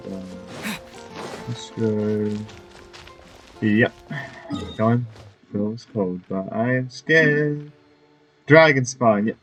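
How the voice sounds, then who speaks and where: A man's voice: medium pitch, poor audio. A man giving casual, offhand commentary as a gamer playing through a video game.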